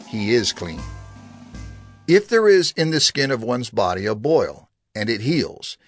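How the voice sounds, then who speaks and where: A man's voice: mid-range voice, fairly bright tone, slightly rough, no echo, studio quality. Solemn man reading scripture over music. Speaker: Bible narrator.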